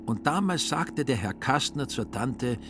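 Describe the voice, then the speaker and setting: A man's voice: mid-range voice, lively intonation, a little slow. A man narrating a literary radio play in a dry, ironic storytelling manner. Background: music.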